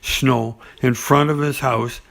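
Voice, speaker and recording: A man reading a children's bedtime story in an unhurried, halting way, with pauses and small stumbles. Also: mid-range voice, steady tone, gravelly, a bit bright. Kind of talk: bedtime story reading with asides and character voices.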